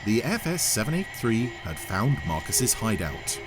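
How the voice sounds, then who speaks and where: A man's voice: lowish voice, big pitch swings, a little slow, noisy background. A man narrating a scripted audio story in the role of storyteller. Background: music.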